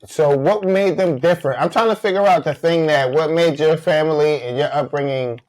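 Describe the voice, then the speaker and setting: A man's voice: on the high side, normal speed, darkish tone. Slangy teasing podcast host man. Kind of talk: casual chat.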